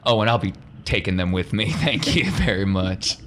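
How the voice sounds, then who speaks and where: A man's voice: on the low side, expressive, normal speed, crowd noise, close to an everyday voice. A man voicing a genial, shrewd crime lord in a tabletop game, folksy and politely menacing. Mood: smug, commanding.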